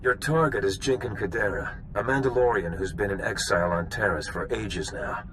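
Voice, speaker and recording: A man's voice: lowish voice, moderate pace, noisy background, close to an everyday voice. An actor playing a seasoned bounty hunter in a video game, wry and knowing as he lays out old history. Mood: explaining, cautionary.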